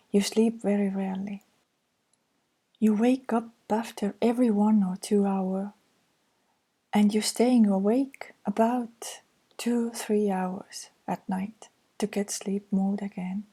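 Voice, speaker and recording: Woman, Estonian accent, counselor reading slides, soft-spoken, mellow tone. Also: medium pitch, steady tone, takes it slowly, breathy edge. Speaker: anxiety counselor. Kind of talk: reading course slides aloud.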